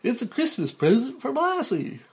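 An exaggerated children's television mailman, as acted out by a man reading a script. He is loud and gleeful, pitched up above ordinary talk, with a corny seventies show-host delivery. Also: huge pitch swings, moderate pace, exaggerated voice. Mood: excited, loud. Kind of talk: 1970s children's TV show acting.

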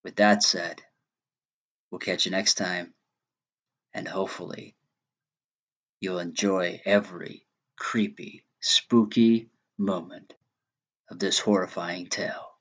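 Male podcast presenter, introduction read, somewhat deep and slow, slightly muffled audio. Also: steady tone, fairly bright tone. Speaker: podcast host.

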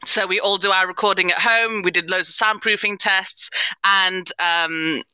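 British woman chatting on a podcast panel, really bright crisp voice, dry close sound. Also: medium pitch, steady tone, moderate pace, no room sound, poor audio. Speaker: podcast panellist, audio drama performer. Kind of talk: panel discussion.

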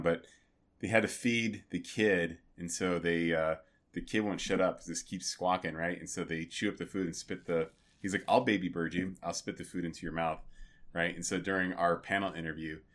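A man co-hosting a podcast, telling stories in an animated, jokey way. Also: sits low, a little fast. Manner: animated, jokey anecdote teller. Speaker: podcast co-host. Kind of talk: casual chat.

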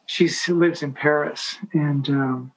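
Halting man telling a rambling story. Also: a little high, steady tone, vocal fry, rough recording. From United States. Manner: halting, many restarts and fillers. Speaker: guest. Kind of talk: casual storytelling.

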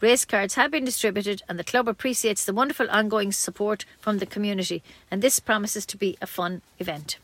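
An Irish woman reads the news for a community radio station. Her voice sits at a middling pitch for a woman. The sound is dry, and she delivers scripted local items as a newsreader. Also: fairly even intonation, a little fast, hardly any pauses, fairly bright tone, no echo.

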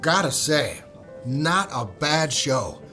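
Breezy, high-pitched male network executive. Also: big pitch swings, slow pace, sharp tone, faint music behind, exaggerated voice. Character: affable, glib male radio network executive. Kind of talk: audio drama acting.

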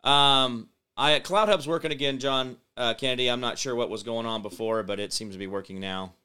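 A male show host talking off the cuff in a bright, crisp voice. He rambles scornfully, drops sentences halfway and starts over, and stops to read viewer comments aloud and answer them. He trips over names and repeats them until he gets them right. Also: medium pitch, brisk. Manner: rambling and scornful, trails off and restarts. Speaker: host of an online political show. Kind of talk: unscripted political commentary monologue.

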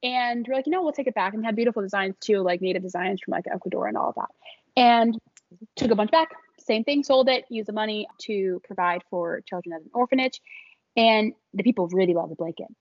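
A woman's voice: medium pitch, brisk, no room sound, dull audio. A young adult woman, a small business founder, talking casually as an interview guest, chatty and laughing often with many fillers.

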